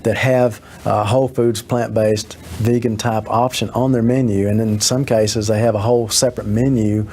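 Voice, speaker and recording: A man speaking in a halting, measured way as a local interviewee. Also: fairly low pitch, flat delivery, a little mellow. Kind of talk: documentary interview. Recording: faint ambient noise.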